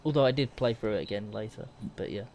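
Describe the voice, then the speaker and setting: A man's voice: lowish voice, fast, a crowd in the background. A man co-hosting a gaming podcast in casual conversation, rambling and self-deprecating. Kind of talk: casual chat.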